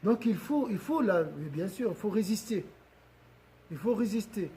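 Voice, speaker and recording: High-voiced man teaching a religious lesson. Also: expressive, moderate pace, mellow, a little hiss or hum. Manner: questions the audience often, repeats and restarts phrases.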